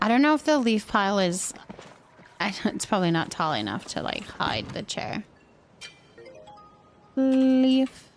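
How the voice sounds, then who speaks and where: A woman's voice: medium pitch, big pitch swings, measured pace, pauses often, soft background music. A woman chatting casually as she hosts a game livestream, thinking aloud and reacting to viewers. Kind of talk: casual chat while playing a building game, reacting to viewers.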